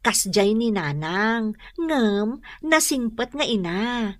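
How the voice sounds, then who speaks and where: A woman playing a pregnant young wife who gently reasons and coaxes, in an Ilocano radio drama. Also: a little high, lively intonation, slow pace, no echo, studio quality. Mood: coaxing.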